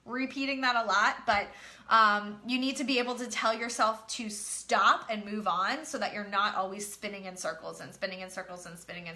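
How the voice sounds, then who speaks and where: A woman's voice: mid-range voice, pushed and bright. A woman business coach giving a casual, emphatic motivational talk, slightly nasal and congested from a cold, repeating her key phrases.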